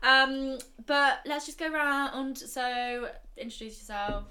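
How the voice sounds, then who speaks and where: A woman's voice: high-pitched, slow, bright, forceful tone. A twenty-year-old woman hosting a podcast in a casual, chatty style. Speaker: podcast host. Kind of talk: casual chat.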